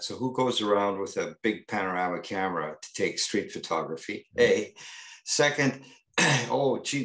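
A man with a lowish voice talks on a panel in a gallery, stopping and restarting often and filling gaps with "uh" and "um". The room around him rings with echo. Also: a bit bright. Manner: halting, many fillers and restarts. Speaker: panel guest, artist. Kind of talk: panel discussion.

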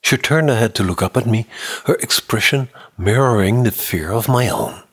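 A man in his sixties with a husky voice, giving a tense, dramatic audiobook reading of a mystery novel close to the microphone. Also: fairly low pitch, moderate pace, fairly bright tone. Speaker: audiobook narrator. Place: indoor room, office or home studio. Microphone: close condenser microphone on a boom arm.

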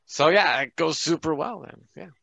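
A man's voice: fairly high pitch, normal speed, creaky, bright, forceful tone, no room sound. A man casually explaining rules and dice during a tabletop game on a group video call. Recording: dull band-limited online call.

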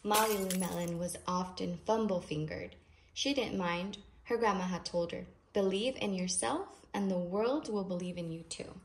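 A woman's voice: medium pitch, moderate pace. A woman reading a children's picture book aloud expressively, stretching key phrases with emphasis. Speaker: storybook reader. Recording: noisy home recording with clicks.

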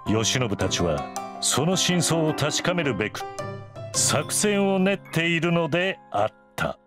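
A man's voice: fairly high pitch, very animated, measured pace, music in the background. A man delivering scripted recap narration for an anime as its narrator.

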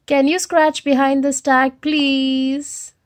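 A woman voicing a big, itchy storybook T-Rex who commands and pleads loudly. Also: sits high, little pitch movement, slow pace. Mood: commanding, pleading.

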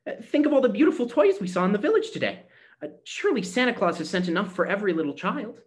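A man reading a storybook aloud, voicing a cheerful, eager poor little girl with a bright, pleading tone. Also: sits high, quick pace, pitched above the performer's own voice. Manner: bright, excited, breathless pleading.

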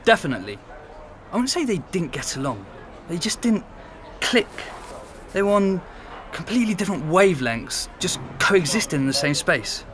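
A man's voice: high voice, sing-song, moderate pace, fairly bright tone, slight background noise. An actor playing a sociable young man who talks openly about an old housemate. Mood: casual. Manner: open, conversational, reflective. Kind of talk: audio drama acting.

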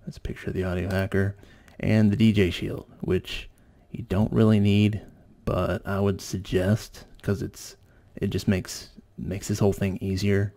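Low, creaky-voiced man narrating a tutorial. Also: level tone, a little slow, mellow. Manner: informal, explanatory. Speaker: host of an electronics tutorial channel.

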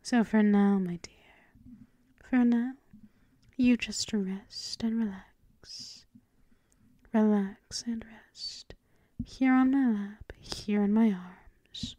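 A woman's voice: mid-range voice, steady tone, drawn-out words, pauses often, no echo. A woman playing a dominant, teasing vampire mistress in an intimate ASMR roleplay, whispering breathily and lingering over repeated endearments. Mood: soothing. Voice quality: breathy whisper mixed with soft voiced speech. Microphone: close microphone.

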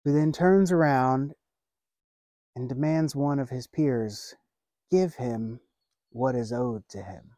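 A man in his thirties preaching a sermon outdoors by a lake, earnest and measured, with deliberate pauses. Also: mid-range voice, warm tone, dry recording. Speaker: pastor. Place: outdoors by a lake, seated on a bench.

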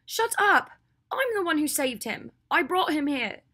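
A woman's voice: high voice, sing-song, unhurried, sharp tone, pitched above the performer's own voice, full character voice. A woman reader acts out a protective young woman in an audiobook novel. She is stubborn and pleading, her urgent voice lifted well above a narrator's tone. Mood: angry, defiant. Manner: urgent, insistent. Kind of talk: audiobook character acting.

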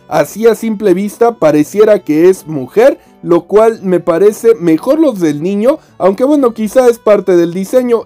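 A man with a high voice and a Mexican Spanish accent talks through an unboxing in a chatty, opinionated way. He is heard off camera while his hands handle the toys. Soft music plays faintly behind him. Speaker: toy reviewer. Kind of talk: casual unboxing review.

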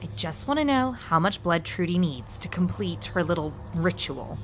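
A woman playing an impatient, sceptical, headstrong teenage girl in an audio horror drama, brisk and dry. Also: lowish voice, expressive, a little hiss or hum, natural voice. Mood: determined, edgy.

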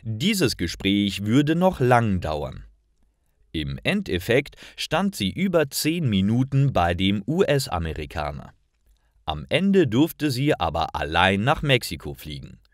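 A man narrating a scripted story for language learners, with deliberate, carefully articulated and expressive delivery. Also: on the low side, no echo, clean studio sound. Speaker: narrator of German listening lessons.

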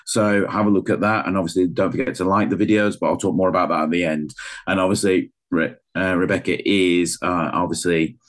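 A British man in his fifties with a croaky voice, hosting a charity's online Q&A with many fillers and restarts. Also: sits low, fairly even intonation, a little fast. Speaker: charity host. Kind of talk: introducing an online Q&A. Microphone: video call.

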